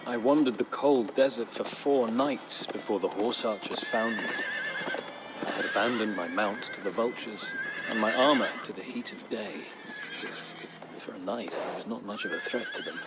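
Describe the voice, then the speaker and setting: An actor playing a weary captive Norman knight who chronicles his captivity in solemn, measured storybook narration for a strategy game. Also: fairly low pitch, wildly swooping pitch, heavy background noise. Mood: grave, weary. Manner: solemn, measured, literary delivery with dramatic pauses. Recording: studio narration over clear background music.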